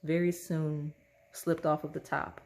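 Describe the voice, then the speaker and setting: A woman talks through a pick-a-card tarot reading in a loose, chatty way and keeps checking in with "okay?" Her voice sits a little low. It is hoarse and has a slight rasp, as if she is losing it. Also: flat delivery, a little slow, darkish tone. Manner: chatty, repeats "okay?" often. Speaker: tarot reader.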